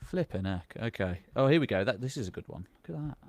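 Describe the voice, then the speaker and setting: A man's voice: lowish voice, lively intonation, fast, darkish tone, no room sound. A man hosting a casual live history chat, reacting enthusiastically and chatting with his guest. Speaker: history channel host.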